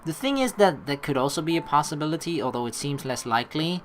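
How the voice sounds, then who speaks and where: A man's voice: a little high, lively intonation, quick pace, a little hiss or hum. A man hosting a let's play, mixing read-aloud narration with rambling, profane casual commentary. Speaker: let's play host reading game text aloud.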